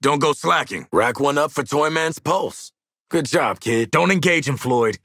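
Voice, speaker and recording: Tough American mercenary, husky, forceful, sardonic, commanding, video game soldier. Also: male voice, on the high side, lively intonation, a little slow, no room sound, clean studio sound, heavily acted. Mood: dry, confident. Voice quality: gravelly, husky. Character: tough, cocky, no-nonsense hitman and squad soldier. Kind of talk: video game combat barks and banter.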